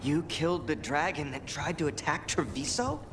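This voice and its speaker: An actor playing a grieving, withdrawn and quietly earnest man in dramatic video game dialogue. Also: on the high side, lively intonation, moderate pace. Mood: subdued, earnest. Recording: game audio with clear background noise.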